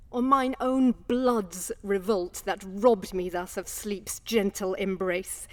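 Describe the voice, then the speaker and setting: A woman playing a tormented, sleepless Shakespearean noblewoman in a theatrical, declamatory soliloquy of archaic verse. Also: high voice.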